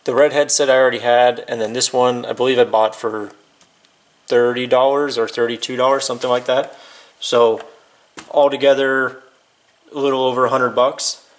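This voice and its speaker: A man's voice: mid-range voice, level tone, moderate pace. A man giving a casual, hands-on how-to demonstration in an informal, offhand way, as if thinking aloud while he works. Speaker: DIY video presenter. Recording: clear background noise, clicks of handling.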